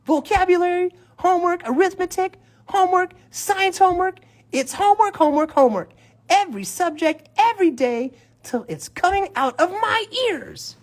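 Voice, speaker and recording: A man's voice: very high-pitched, expressive, moderate pace, voice pushed up. A man voicing a whiny, complaining young bear cub boy from a children's storybook. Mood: exasperated complaining. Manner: complaining, exclamatory. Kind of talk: children's storybook read aloud.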